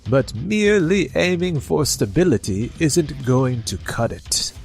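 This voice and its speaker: Slow, stern old company chairman played by an actor. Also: on the high side, expressive, vocal fry, darkish tone. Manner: measured, authoritative. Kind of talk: anime-style manga dub acting.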